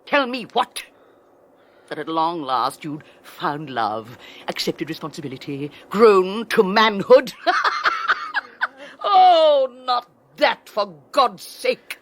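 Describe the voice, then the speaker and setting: Radio drama villainess: theatrical, sneering wife, lively swooping delivery, cackles with scorn. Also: female voice, mid-range voice, takes it slowly. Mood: scornful. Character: domineering wealthy wife, cruel, mocking, triumphant. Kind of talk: 1980s radio drama acting.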